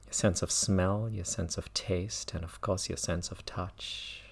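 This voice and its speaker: A man with a low-pitched voice leads a guided mindfulness meditation. He speaks very slowly, leaving long pauses between measured phrases. He often repeats words as he gives his instructions. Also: fairly even intonation, vocal fry, slightly rough. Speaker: meditation teacher.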